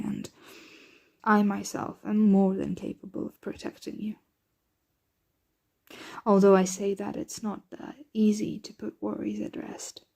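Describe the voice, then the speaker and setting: A woman playing a protective, doting yet anxious vampire mistress in an ASMR roleplay, breathy and often whispering, with formal, measured diction. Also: mid-range voice, mellow. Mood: soothing, affectionate.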